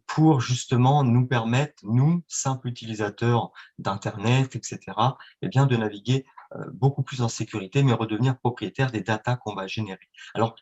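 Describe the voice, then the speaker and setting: A man's voice: mid-range voice, darkish tone, highs cut off. Didactic man. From France or Italy, Franco-Italian. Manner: didactic, wordy, rhetorical questions. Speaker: guest, marketing agency representative. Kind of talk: explanatory interview talk, promotional.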